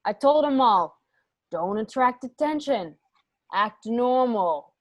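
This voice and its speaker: A foul-mouthed, exasperated mob boss, acted by a woman in a crime radio play. Her voice is a bit high, brash and emphatic, recorded very dry. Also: animated, slow, dry recording. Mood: exasperated anger.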